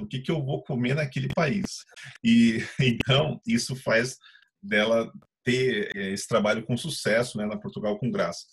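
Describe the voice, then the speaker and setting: A man interviewing a guest, asking questions in a measured, explanatory way. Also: on the low side, slight background noise.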